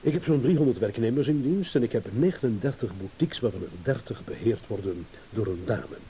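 A man's voice: mid-range voice, expressive, fairly quick, mellow, natural voice. An actor in a radio play playing a self-assured businessman, courteous and indulgent in a patronising way, yet guarded. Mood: guarded, businesslike. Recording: hissy old radio play recording.

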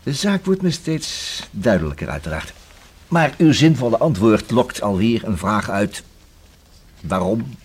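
An actor in a Dutch radio play playing a persistent, dryly ironic police inspector, with a husky voice and formal, measured manner. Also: male voice, lowish voice.

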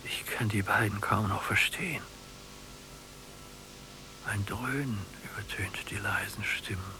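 An actor playing an old, nearly blind prisoner in a radio drama, musing in a halting, poetic way. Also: male voice, on the low side, background noise, lightly acted. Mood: brooding, dreamy. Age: older.

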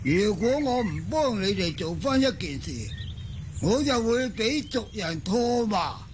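Solemn old tribe shaman for radio drama, high pitched, really drawn out and theatrical. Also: big pitch swings, measured pace, a little hiss or hum. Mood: earnest. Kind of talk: Cantonese radio drama acting.

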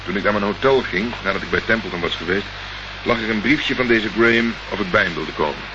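Deep-voiced sardonic private eye played by a man. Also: fast, close to an everyday voice. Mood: matter-of-fact. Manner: sarcastic, deadpan. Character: dry, sardonic male private investigator, unruffled under questioning. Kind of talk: Dutch radio play acting. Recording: muffled, noisy old radio recording.

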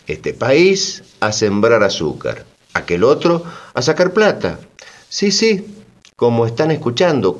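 A man playing an indignant, sardonic storyteller in radio theatre, talking conversationally with expressive irony. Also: medium pitch, lo-fi sound. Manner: expressive, ironic, mimicking orders. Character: indignant, sardonic male storyteller recounting colonial exploitation.

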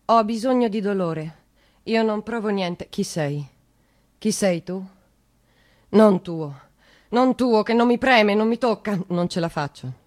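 An actress playing an anguished, trapped woman in a theatrical monologue, with a Roman accent and fragmented, repetitive delivery that moves between hushed and shouted. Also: mid-range voice, unhurried, long pauses, close to an everyday voice. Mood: numb anguish.

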